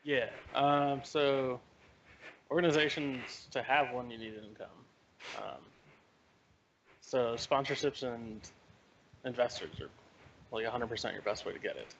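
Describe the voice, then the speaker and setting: A man's voice: medium pitch, unhurried, gravelly, pauses often, fairly bright tone, lo-fi sound, slight background noise. A man who owns an esports team, giving brief, hesitant answers as a podcast guest. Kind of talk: casual podcast chat.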